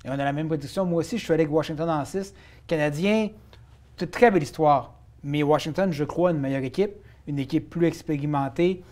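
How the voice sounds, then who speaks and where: A man analyses hockey at a quick clip. He makes his points in a measured, analytical way and keeps coming back to them. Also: a little high, a little mellow. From Quebec. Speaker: sports talk host. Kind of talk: casual hockey chat.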